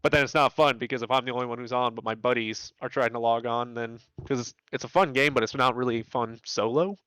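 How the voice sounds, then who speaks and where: A man's voice: mid-range voice, quick pace, a bit bright, clear, resonant tone, no room sound, low-quality recording. A man chatting casually about games in a laid-back, slangy way, swearing often as he explains things. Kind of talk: casual gaming chat.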